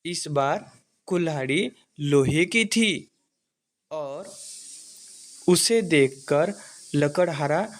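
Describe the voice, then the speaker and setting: Slow-paced male storyteller, slightly high voice. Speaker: storyteller, narrator. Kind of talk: scripted children's story narration.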